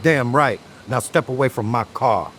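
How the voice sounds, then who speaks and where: An actor playing a weary, gruff police detective in a horror audio drama, with a wry, guarded, commanding manner. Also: male voice, medium pitch, normal speed, fairly bright tone, slight background noise, lightly acted.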